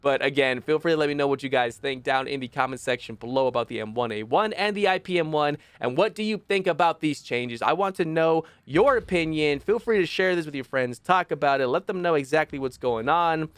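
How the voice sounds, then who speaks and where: A young man with a phlegmy, rough voice commenting casually on a game, rambling and correcting himself as he gives his opinions. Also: on the high side, fairly quick, hardly any pauses, a bit bright, no echo. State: phlegmy, rough voice after illness. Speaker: gaming video host.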